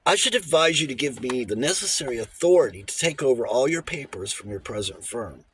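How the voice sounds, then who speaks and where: A man's voice: medium pitch, lively intonation, brisk, a bit bright, lightly acted. A man voicing a little old bachelor lawyer in an audiobook, formal and precise, shifting between diffidence and relish for a fight. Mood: authoritative, relishing. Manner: hesitant stammers giving way to precise, emphatic pronouncements.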